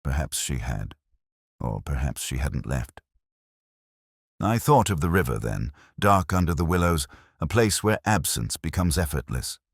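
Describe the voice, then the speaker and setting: A man reading a scripted first-person literary detective story in a measured, melancholy, reflective manner. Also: a bass voice, very animated, slightly rough, no room sound, studio quality.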